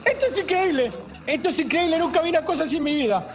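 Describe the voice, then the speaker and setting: Man acting as high-pitched excitable Argentine jogger. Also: racing, heavy background noise. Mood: panicked, astonished. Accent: Buenos Aires Argentine. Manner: stammering, slangy, breathless exclamations. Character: excitable young male jogger giving a panicked eyewitness account. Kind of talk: radio drama acting.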